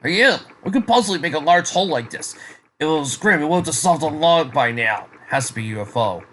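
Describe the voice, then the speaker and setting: A man's voice: fairly low pitch, lively intonation, brisk, pushed and bright, a little hiss or hum. A man playing an insistent, argumentative soldier in a fan fiction reading. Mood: insistent, exasperated. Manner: emphatic, argumentative. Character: insistent male soldier arguing with a comrade. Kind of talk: amateur fan fiction voice acting.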